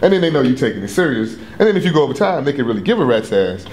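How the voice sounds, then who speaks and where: A man's voice: medium pitch, very animated, rapid-fire, a little mellow, a little hiss or hum. A male stand-up comedian in a casual group chat, explaining at length in a rambling way and laughing often. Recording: roomy echo.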